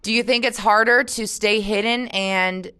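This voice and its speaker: A woman reading aloud expressively as a teacher, pausing for casual discussion and guiding questions. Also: medium pitch, steady tone, sharp tone, clean tone. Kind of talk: audiobook-style read-aloud with casual discussion.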